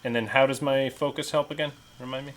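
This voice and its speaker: A man's voice: medium pitch, steady tone, fast talker, a bit bright. A man playing in a tabletop roleplaying game, talking casually and sometimes speaking as his character.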